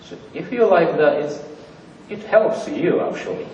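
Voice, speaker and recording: A man's voice: on the high side, big pitch swings, measured pace, dark tone, slight background noise. A man with as his giving a conference talk to an audience, joking in simple phrasing with lots of fillers. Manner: joking, plain non-native phrasing with many fillers. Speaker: conference presenter. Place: large theater. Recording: dull, roomy audience recording from far off.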